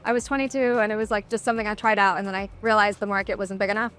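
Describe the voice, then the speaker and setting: A woman's voice: mid-range voice, level tone, rapid-fire, a bit bright, soft background music. A woman who founded her own company, talking casually on a panel in a matter-of-fact way.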